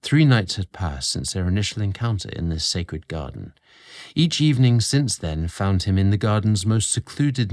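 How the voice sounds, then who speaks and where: A man narrating a scripted romantic story in a measured, expressive storybook manner. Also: fairly low pitch.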